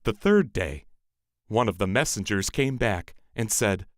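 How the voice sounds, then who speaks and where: Lively, expressive male storyteller. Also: on the low side, measured pace, no echo, clean studio sound. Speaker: storyteller, narrator. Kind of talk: scripted fairy-tale narration.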